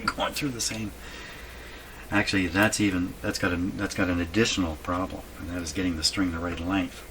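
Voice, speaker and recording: A man giving unscripted, halting commentary as he thinks aloud while doing hands-on repair work. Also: sits low, fairly even intonation, pushed and bright. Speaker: radio repair hobbyist narrating a workshop video. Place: indoor radio repair workshop. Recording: clearly noisy workshop recording.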